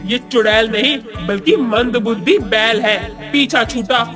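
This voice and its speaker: A performer voicing a vengeful pregnant witch in an animated story, scornful and threatening toward everyone addressed. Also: medium pitch, measured pace, lightly acted. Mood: bitter. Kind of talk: Hindi animated moral story voice acting. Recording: loud music behind the voice.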